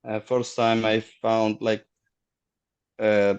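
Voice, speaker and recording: A middle-aged man, a guest on a video call, telling his story haltingly and searching for words. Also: lowish voice, little pitch movement, smooth, highs cut off. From Afghanistan. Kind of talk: casual personal story.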